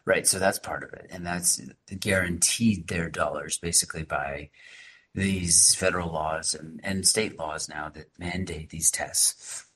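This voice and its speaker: A man's voice: sits low, fairly even intonation, a little slow, bright, forceful tone, breathy edge. A male teacher and activist speaking emphatically as a podcast guest, often adding "right?" and "like" as he explains. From Massachusetts. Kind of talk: conversational podcast interview.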